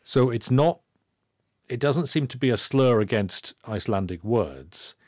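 A man's voice: medium pitch, expressive, measured pace, a little mellow, dry recording, clean studio sound. A middle-aged man hosting a podcast, chatting casually and jokingly with a guest, often restarting his phrases. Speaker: podcast host. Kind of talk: casual conversational interview.

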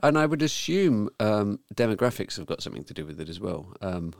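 A man hosting a weekly news talk show, speaking in a measured way with frequent ums. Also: lowish voice, big pitch swings, creaky, no room sound, studio quality. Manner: measured, hesitant with many ums. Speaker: podcast host. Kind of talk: casual news discussion.

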